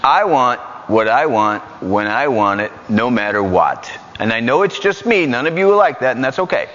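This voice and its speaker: A man with a New York accent preaching a lively, joking sermon in a church. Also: mid-range voice, normal speed. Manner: animated, joking, repetitive. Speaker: guest preacher. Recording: muffled church hall recording.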